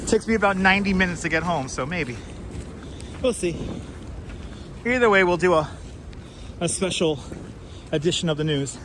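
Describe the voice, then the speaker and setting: Man, live stream host, high voice, bright edge, chatty with viewers, clip-on mic outdoors. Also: expressive, normal speed, long pauses, a little hiss or hum. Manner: chatty, answers viewers' chat by name. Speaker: livestream host. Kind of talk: casual live street commentary. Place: outdoors on a city street at night in snow. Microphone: wireless clip-on mic.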